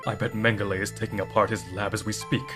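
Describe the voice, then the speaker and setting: A man's voice: on the low side, flat delivery, fast talker, music in the background, natural voice. An actor playing an earnest, shaken undercover cop in an audio drama, sincere in his delivery. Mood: grateful, remorseful.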